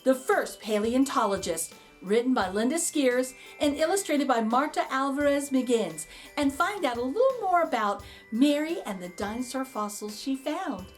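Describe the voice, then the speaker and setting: A woman reads a children's story aloud in a fairly high, very lively voice. She stresses key words and pauses for drama. Faint music plays behind her. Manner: expressive, dramatic emphasis with pauses and hushed asides. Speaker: read-aloud host and storyteller. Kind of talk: children's picture book read-aloud.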